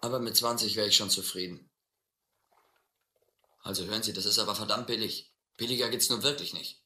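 An actor playing a desperate, educated drifter who drinks hard, rambling and pleading in halting bursts in a radio adventure play. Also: male voice, on the low side, steady tone, bright, forceful tone, reverberant. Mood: bargaining. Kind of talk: German audio adventure drama.

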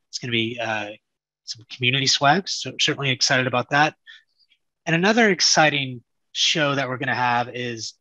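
Male webinar host, crisp bright voice, dry muffled call sound, easygoing. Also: medium pitch, no echo. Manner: casual, self-deprecating. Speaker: community show host. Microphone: video call.

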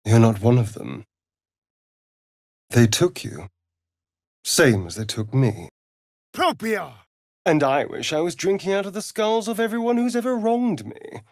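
A man's voice: fairly high pitch, big pitch swings, unhurried, pauses often, a little mellow, no echo, clean studio sound. A male actor playing a sardonic, flirtatious vampire rogue in a video game, with an arch, mocking, theatrical drawl. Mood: grave. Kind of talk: video game character voice lines.

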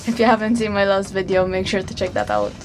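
A woman's voice: medium pitch, fairly even intonation, normal speed. A young woman in her twenties, a travel vlogger chatting to a handheld camera as she walks around a city, laughing often as she describes her plans. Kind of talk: casual travel vlog to camera. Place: outdoors in a city, streets and stadium grounds. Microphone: handheld camera at arm's length.

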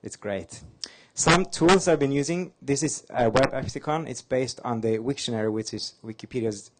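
A man's voice: medium pitch, moderate pace, background noise. A man from Norway, whose first language is Norwegian, giving an upbeat slide talk at a conference with touches of self-deprecating humor. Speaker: conference speaker. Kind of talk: fast-paced slide talk. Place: conference hall.

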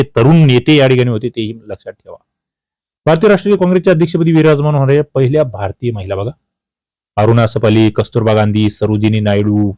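A man's voice: medium pitch, a little mellow, no room sound. A man with a Maharashtrian Marathi accent teaching exam quiz questions, addressing students directly as he reads and explains. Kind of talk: quiz lesson, reading questions and explaining answers.

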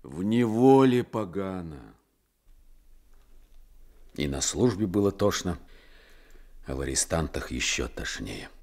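An actor playing a gentle, melancholy sailor turned convict in a radio drama, speaking in humble, folksy, weary phrases. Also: male voice, low-pitched, very animated, slow pace, long pauses, heavily acted. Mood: gloomy. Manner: soft-spoken folksy servility, brief weary phrases. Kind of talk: Soviet radio drama acting.

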